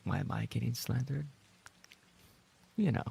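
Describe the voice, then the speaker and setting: Gravelly, husky man chatting on stream. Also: medium pitch, unhurried, dark tone. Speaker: live streamer and voice actor. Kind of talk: casual livestream chat with viewers.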